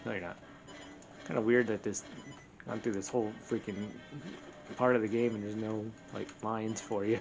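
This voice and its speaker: A man's voice: fairly low pitch, level tone, moderate pace, gravelly, poor audio. A man commenting casually as he plays a video game, sarcastic and mildly exasperated. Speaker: co-host playing the game. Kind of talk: casual let's play commentary. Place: indoors, in front of a TV. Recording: game music over the talk. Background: video game music.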